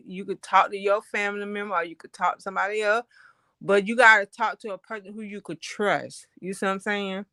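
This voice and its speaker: A woman's voice: mid-range voice, pushed and bright, clean tone, no echo, clean studio sound. A woman with a Southern US accent giving casual advice in an earnest, conversational, repetitive way. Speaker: panel guest.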